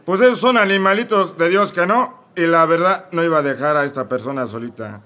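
A man's voice: fairly high pitch, brisk, fairly bright tone, natural voice. A man with a Mexico City accent playing a crude, drunken, pious shepherd who rants in slang. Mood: ranting. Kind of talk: comedic radio play acting.

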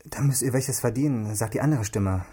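A man's voice: mid-range voice, a little fast, noisy background, natural voice. A man playing an eager, naive young police interpreter in a radio crime drama, earnest and a little hesitant.

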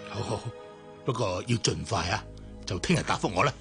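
Smooth-talking corporate schemer, Hong Kong Cantonese accent, gravelly voice, animated pitch, radio drama. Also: male voice, fairly quick, music in the background. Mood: smooth, pressing. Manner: coaxing, urging. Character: scheming businessman, smooth and persuasive to his superior.

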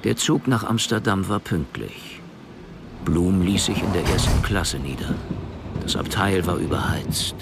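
A man's voice: low-pitched, background noise. A man narrating a crime story as the scripted storyteller of a radio drama.

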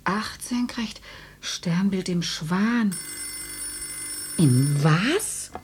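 An actress playing a matter-of-fact older housewife in a radio play, with a husky voice, often muttering as if to herself. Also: female voice, lowish voice, expressive, extremely slow, a little mellow, slight background noise. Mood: puzzled. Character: matter-of-fact grandmotherly housewife who learns she is dead.

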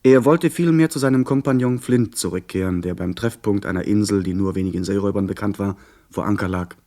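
An actor playing an earnest, literate young gentleman who narrates his pirate adventure in a measured, articulate way in a radio play. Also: on the low side, close to an everyday voice. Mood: earnest recounting.

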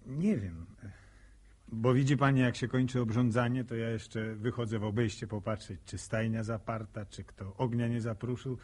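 Male actor as young villager, radio drama, rough-edged husky voice, bashful, halting, earnest. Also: mid-range voice, fairly even intonation, a little mellow, natural voice. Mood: earnest, curious. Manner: hesitant, stammering, plain-spoken folksy speech. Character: shy, curious young farmer, unschooled and earnest. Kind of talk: naturalistic radio drama acting.

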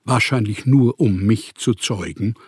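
An actor playing a proud, melancholy, rootless writer who speaks deliberately and reflectively in a radio play. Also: male voice, on the low side, slightly rough, dry recording, studio quality. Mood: wistful, reflective. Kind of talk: autobiographical radio play monologue.

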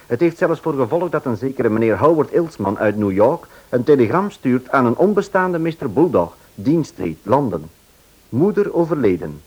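A man's voice: mid-range voice, darkish tone, slightly rough, highs cut off. A man delivering dramatic scripted narration and announcements for a radio play.

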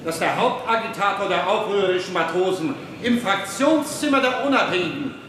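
A man's voice: high voice, lively intonation, a little fast. An actor playing a male state secretary making an official accusation in a radio drama. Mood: accusing. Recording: distant, echoey hall with noise.

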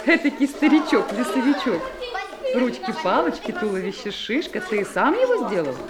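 A woman voicing a calm, friendly female schoolteacher in a fairy-tale audio play, gently amused. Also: a little high, moderate pace, crowd noise, voice pushed down.

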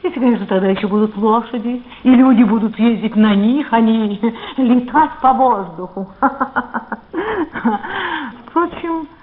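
Woman acting regal queen, very slow delivery, stately, wry, declamatory, radio drama, muffled vintage recording. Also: on the high side, lightly acted. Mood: wry, ironic. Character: imperious, witty queen of Elizabethan England. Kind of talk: theatrical radio play acting.

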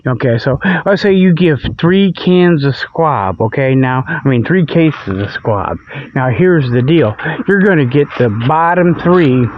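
A man's voice: a little high, expressive, measured pace, slight background noise. A man hosting a comic radio report, rambling through mock sponsor plugs in a folksy, ungrammatical, digressive way. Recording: dull lo-fi, dry close sound.

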